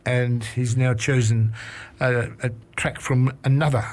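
A male radio host in the studio, talking in a relaxed and chatty way. His voice sits at a middle pitch, and he stumbles over his words now and then with plenty of "um"s and restarts. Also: measured pace. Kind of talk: casual radio chat.

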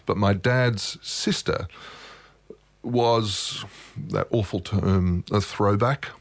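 Male guest, very low voice, wry and rambling, dry close-mic sound. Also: very slow, gravelly, no room sound. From Australia. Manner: wry, self-mocking, thoughtful, rambling anecdotes. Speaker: guest musician. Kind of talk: relaxed storytelling interview.

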